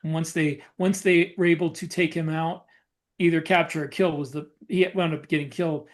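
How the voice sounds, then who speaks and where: A man co-hosting a podcast and talking shop as a novelist, explaining casually in a halting way and reworking his phrases. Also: fairly high pitch, steady tone. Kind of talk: casual discussion of writing military science fiction.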